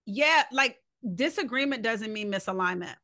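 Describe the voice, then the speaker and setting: A woman's voice: fairly high pitch, animated, normal speed, sharp tone. A woman co-hosting a podcast, talking earnestly and emphatically in a reflective conversational monologue, often posing rhetorical questions. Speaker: podcast co-host.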